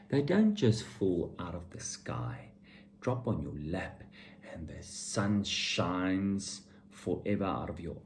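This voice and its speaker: A man's voice: deep voice, dark tone, slight background noise. A middle-aged man giving an informal motivational monologue to viewers, speaking bluntly and with emphatic, opinionated repetition. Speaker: vlogger and entrepreneur giving motivational talk.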